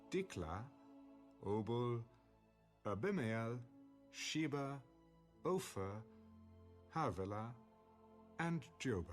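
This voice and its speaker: A man reading scripture as an audiobook narrator in a measured, solemn manner. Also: medium pitch.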